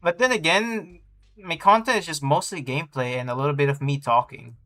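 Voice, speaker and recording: A man's voice: a little high, fairly quick, pushed and bright. A man livestreaming a game, chatting casually to his viewers. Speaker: game streamer.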